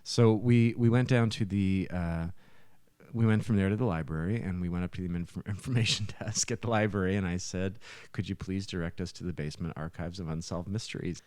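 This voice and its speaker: A man in his fifties telling stories casually on a podcast, halting and emphatic as he goes. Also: deep voice, a little mellow, clean tone, no echo. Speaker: musician and podcast co-host. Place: small home living room.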